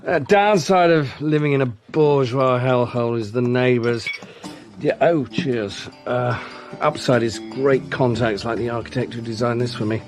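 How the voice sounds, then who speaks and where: A man's voice: mid-range voice, normal speed, over music, lightly acted. An actor playing an affable, boozy, middle-aged businessman with a sardonic, chuckling manner in a naturalistic radio drama. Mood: breezy, boastful. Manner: chuckling, hesitant asides, sardonic.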